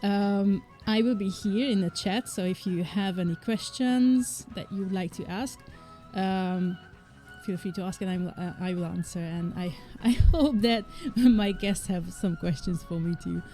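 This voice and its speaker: A woman's voice: medium pitch, measured pace, warm tone, faint music behind. A woman from Hungary, an indie game developer, chatting casually on a livestream with frequent fillers and light laughs. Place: home, living in the UK.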